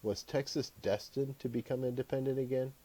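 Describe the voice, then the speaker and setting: A man narrating his own fiction as an audiobook, in a measured storytelling delivery. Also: medium pitch, steady tone, warm tone. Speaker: author reading own book aloud.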